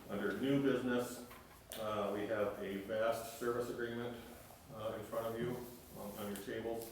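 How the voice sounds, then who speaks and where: A man giving a city administrator's report to a council, steady and full of "uh" fillers. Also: mid-range voice, moderate pace. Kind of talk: meeting report. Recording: echoey council chamber from far off, noisy.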